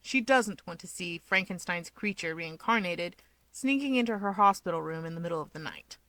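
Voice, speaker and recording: A woman reading a scripted story aloud as an expressive narrator. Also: lowish voice, moderate pace, no room sound, rough recording. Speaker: fiction narrator.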